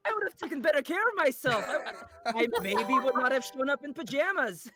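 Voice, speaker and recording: A man's voice: extremely high pitch, expressive, a little fast. A Vietnamese-born man whose first language is Vietnamese, a voice actor guest chatting casually with lots of restarts and laughs. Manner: laughing, self-deprecating, stumbling restarts. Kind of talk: casual interview chat.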